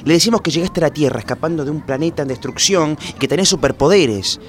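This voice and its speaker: A man's voice: a little high, fast, a little hiss or hum, lightly acted. A man playing a bumbling, childish detective in a comedy radio drama, with an Argentine accent and a hesitant, rambling delivery. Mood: nervous scheming. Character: bumbling, childish young man detective, nervous schemer and corny romantic.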